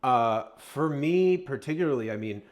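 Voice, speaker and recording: A man's voice: medium pitch, expressive, moderate pace. A man co-hosting a podcast, speaking in a casual, conversational style. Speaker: podcast co-host.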